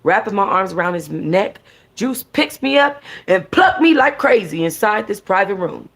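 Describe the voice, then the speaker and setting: A woman reading a novel aloud in an expressive, conversational, sassy way with casual slangy asides, as a book club host. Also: mid-range voice, moderate pace, vocal fry, breathy edge. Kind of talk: expressive first-person audiobook-style reading with casual asides.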